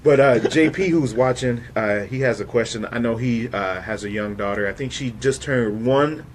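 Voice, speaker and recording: A man's voice: mid-range voice, moderate pace, slight background noise. A man in his thirties hosting a casual interview, chatting in an informal, conversational way. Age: 30s. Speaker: show host.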